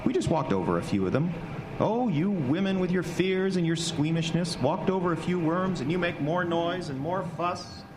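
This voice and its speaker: A man's voice: a little high, expressive, lightly acted. A man acting an obsessive, irritable older scientist haunted by guilt, in old-time radio drama style, brooding and snapping. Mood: irritable, commanding. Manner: brooding, halting self-talk and snappish orders. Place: stage before a live audience. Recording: echoey hall, live stage, background noise.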